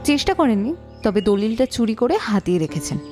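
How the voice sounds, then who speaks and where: A woman playing an irritated, sharp-tongued married woman in an audio detective drama, curt, scornful and suspicious. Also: a little high, lively intonation, a little fast, faint music behind, close to an everyday voice. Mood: accusing, scornful.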